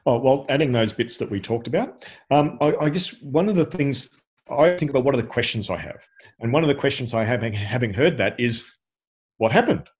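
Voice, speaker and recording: A man's voice: medium pitch, lively intonation, brisk. A man co-hosting a podcast, giving feedback in a casual, reflective and explanatory way. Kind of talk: casual discussion of storytelling.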